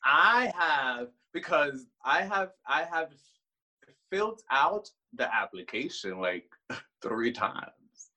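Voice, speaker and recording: A man with a Puerto Rican accent, a drag queen guest chatting in an interview with a sassy, animated manner. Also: fairly high pitch, slow, low-quality recording. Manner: sassy, animated, swears freely. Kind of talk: casual interview chat.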